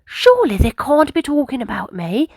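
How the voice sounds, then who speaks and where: A curious old bus from a children's storybook, played by a woman, wonders to himself with swooping, animated pitch. He is timid yet hopeful, and the sound is close and dry. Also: moderate pace, slightly rough, no room sound, exaggerated voice. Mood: curious, wondering.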